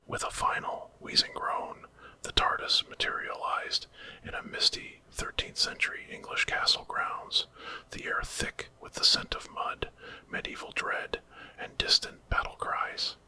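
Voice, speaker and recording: A man tells a horror story in a steady ASMR whisper. The voice has no real tone to it, only breath, with a very bright, hissing edge. The delivery is that of a scripted narrator. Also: a little slow.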